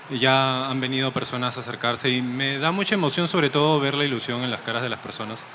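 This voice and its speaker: A man from Peru with a Peruvian Spanish accent, a comic artist guest talking softly and thoughtfully in a casual interview. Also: medium pitch. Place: busy convention hall. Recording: noisy convention floor. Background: crowd chatter of a convention.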